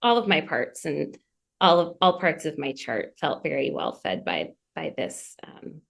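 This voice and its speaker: A woman's voice: low-pitched, very animated, a little slow, creaky. A woman from Massachusetts, a podcast guest on a remote call, speaking earnestly and speeding up in eager bursts. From Massachusetts, USA. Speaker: guest, astrology student. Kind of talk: conversational podcast interview.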